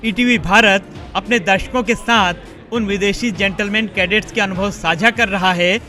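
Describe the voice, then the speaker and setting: Male news narrator, very quick scripted read, forceful bright tone. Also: high voice, steady tone, soft background music. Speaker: news presenter voice-over.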